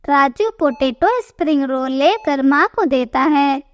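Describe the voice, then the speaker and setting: High-pitched female story narrator. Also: expressive, measured pace, no echo, highs cut off. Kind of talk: scripted narration of a Hindi cartoon moral story.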